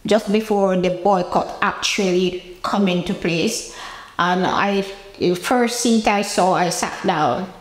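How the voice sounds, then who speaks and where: An elderly woman with a Jamaican accent shares personal memories as an interviewee. Her voice sits at an average pitch for a woman. The indoor room gives it a clear echo. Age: 80s. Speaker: interviewee recalling her past. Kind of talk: personal reminiscence to camera. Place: indoor room with bare walls, seated interview. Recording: roomy, echoing indoor interview.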